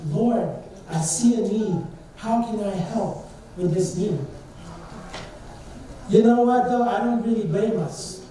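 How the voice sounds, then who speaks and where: A man's voice: high-pitched, slow, pauses often, mellow. Young man preaching in an echoey church. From Trinidad. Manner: earnest, conversational preaching with rhetorical questions. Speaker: student speaker at church service. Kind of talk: informal sermon. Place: church sanctuary. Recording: distant and very echoey church hall, faint noise.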